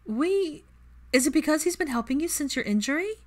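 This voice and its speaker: A woman's voice: high voice, animated, brisk, voice pushed up. A woman voicing a curious, naive young witch in an audiobook, questioning and hesitant. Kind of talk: audiobook character voice.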